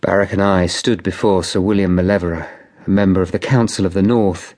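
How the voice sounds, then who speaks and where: A man's voice: low voice, fairly even intonation, a little fast, darkish tone. A man playing an earnest, polite but persistent Tudor lawyer, narrating and speaking in a formal radio drama style. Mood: measured narrating. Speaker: narrator and lead character. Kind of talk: full-cast radio drama, scripted narration and dialogue.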